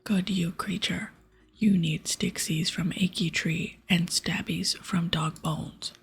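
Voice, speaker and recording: A woman voicing a crude, hungry ogre hunter in a breathy whisper, with childlike broken grammar, for an ASMR game playthrough. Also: on the low side, steady tone, faint music behind, exaggerated voice. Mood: insistent. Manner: broken baby-talk grammar, drawn-out words.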